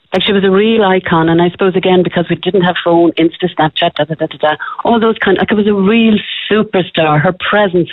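A middle-aged Irish woman, a former television presenter, telling a lively story as a radio interview guest. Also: fairly low pitch, no echo. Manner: breathless, excitable, addresses host by name. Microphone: telephone. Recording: tinny phone line.

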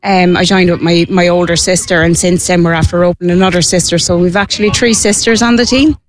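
A woman's voice: lowish voice, fairly even intonation, fast talker, a little hiss or hum. A woman in her late thirties with an Irish accent, a team player, chatting casually and with some self-deprecation. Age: late 30s. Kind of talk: casual interview.

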